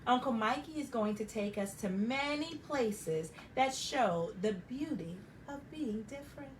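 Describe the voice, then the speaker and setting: A woman voicing a patient, encouraging father in a children's story, in a calm teaching tone. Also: on the high side, a little slow, far from the mic, a little hiss or hum.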